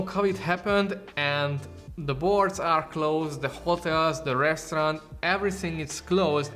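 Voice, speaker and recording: Bright-voiced Hungarian man vlogging. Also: on the high side. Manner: earnest, informal, non-native phrasing. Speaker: photography YouTuber. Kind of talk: casual talking-to-camera vlog.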